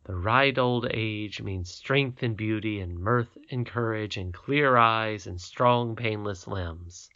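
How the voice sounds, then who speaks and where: A calm, wise and ancient great-great-grandmother from a bedtime storybook, read by a man in a voice close to his own. She speaks gently and reassures in a measured way. The sound is dull and lo-fi. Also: fairly low pitch, heavily acted. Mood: tender.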